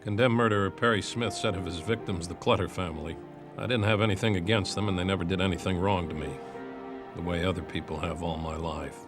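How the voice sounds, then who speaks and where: Male actor as serious TV profiler, very low register, thoughtful scripted voice-over with clear music behind. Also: fairly even intonation, close to an everyday voice. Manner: measured, serious. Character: serious, reflective male criminal profiler narrating. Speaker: actor in a TV crime drama. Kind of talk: scripted voice-over reciting quotations. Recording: music under the voice.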